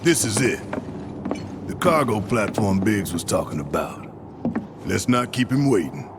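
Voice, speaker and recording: An actor playing a gruff, foul-mouthed, blustering rebel leader with folksy swagger in a video game cutscene. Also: male voice, on the low side, animated, a little slow, background noise. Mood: grim, bitter.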